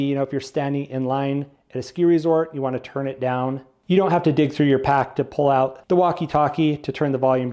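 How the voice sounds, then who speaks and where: A man with a fairly high-set voice and a mellow, relaxed tone reviews gear. He explains in simple, non-technical terms, in the casual style of a product walkthrough.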